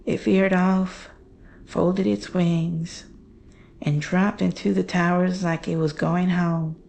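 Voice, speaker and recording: A woman playing a haunted daughter recounting a horror story in hushed, halting, measured phrases. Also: on the low side, little pitch movement, vocal fry. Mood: haunted, dread. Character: Jane, haunted woman recounting her family's monster encounter. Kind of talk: slow scripted horror storytelling.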